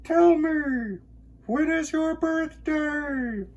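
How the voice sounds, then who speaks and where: A man voicing a storybook moon as a slow, distant echo, mimicking in drawn-out phrases. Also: very high-pitched, darkish tone, highs cut off, pitched above the performer's own voice, exaggerated voice.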